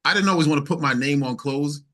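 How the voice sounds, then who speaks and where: Man, fairly high pitch, casual interview talk, emphatic, repetitive phrasing, anecdotal. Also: fast talker, pushed and bright. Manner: emphatic, repeats phrases, tells anecdotes with quoted dialogue. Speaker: interview guest, singer-songwriter.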